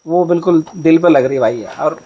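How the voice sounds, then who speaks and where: High-voiced enthusiastic Uttarakhand vlogger. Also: male voice, a little fast, warm tone, muffled recording. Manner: enthusiastic, full of praise, many fillers. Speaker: vlogger, interviewer. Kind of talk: casual interview.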